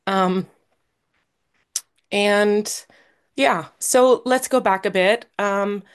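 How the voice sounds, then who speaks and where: A woman speaking as the guest at a recovery meeting, sharing her own story in a halting, earnest way with many pauses and restarts, and a little nervous. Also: medium pitch, smooth. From Canada. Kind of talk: personal recovery share.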